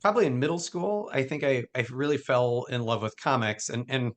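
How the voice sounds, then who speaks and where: A man's voice: mid-range voice, fairly quick. Upbeat chatty man hosting a podcast. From Michigan. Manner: chatty, upbeat, frequent ums and laughs. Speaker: podcast host. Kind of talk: casual interview chat.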